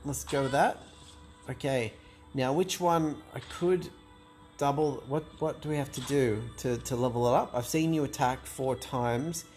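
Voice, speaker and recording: A man's voice: on the high side, a little mellow. Hesitant man thinking aloud. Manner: hesitant, self-doubting, lots of restarts and fillers. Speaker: gameplay commentator. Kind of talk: thinking aloud while playing a card game.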